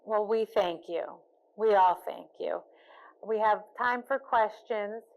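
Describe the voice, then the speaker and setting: A woman's voice: medium pitch, slow, darkish tone, low-quality recording. Woman moderating a Q&A. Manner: repeats audience questions back clearly. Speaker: interviewer, moderator. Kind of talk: moderating audience questions.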